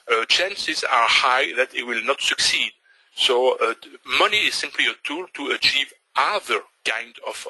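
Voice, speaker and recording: Husky older man with a Belgian French accent. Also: medium pitch, pushed and bright, no room sound, poor audio. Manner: halting, searching for words in a second language. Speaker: trading instructor. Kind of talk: webinar presentation.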